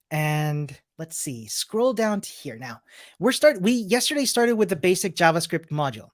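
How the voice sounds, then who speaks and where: Upbeat woman teaching a live coding class. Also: on the low side. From Chile. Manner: upbeat, chatty, greets viewers by name and place. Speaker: coding instructor. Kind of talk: live-streamed coding lesson.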